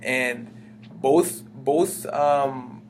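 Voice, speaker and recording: A man's voice: medium pitch, very slow. A young man from the United States, talking in a rambling, casual way as the interviewee, full of fillers and restarts. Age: young adult. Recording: humming background noise.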